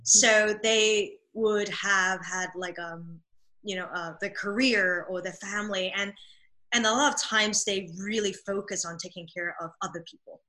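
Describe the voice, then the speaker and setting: A woman talks as a guest in an interview, her bright voice sitting at a middling pitch. She speaks earnestly and with enthusiasm, often stopping to restart a thought with "um" and "like". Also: fairly even intonation, a little slow, poor audio. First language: Cantonese. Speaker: dance movement therapist.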